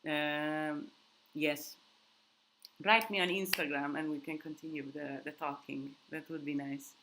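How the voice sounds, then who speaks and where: A woman's voice: sits low, steady tone, unhurried, background noise. Chatty woman, non-native English. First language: not English. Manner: chatty, rambling, frequent restarts and fillers. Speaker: vlogger hosting a live Q&A. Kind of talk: casual live-stream chat with viewers. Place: countryside home.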